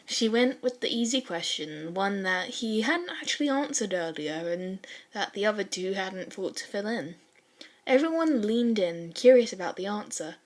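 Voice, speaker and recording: A woman reading fan fiction as an audiobook-style narrator, with a casual, wry, expressive storytelling manner. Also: mid-range voice, normal speed.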